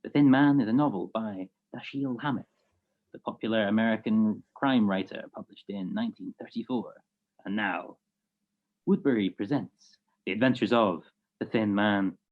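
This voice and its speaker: A man's voice: on the low side, moderate pace, lightly acted. A man playing a genial radio announcer, reading scripted 1940s-style introductions and sponsor lines over muffled call audio. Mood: presentational.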